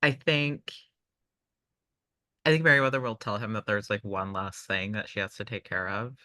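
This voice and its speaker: A man with a fairly low, bright voice plays a tabletop roleplaying game in a dry, close recording. He talks casually, tentatively working out his character's actions aloud. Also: fairly even intonation, normal speed, dry recording.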